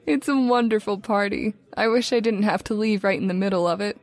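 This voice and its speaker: A woman playing an affectionate young fiancée in a radio drama, gentle and playful. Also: medium pitch, steady tone, normal speed, natural voice. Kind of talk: old-time radio drama acting.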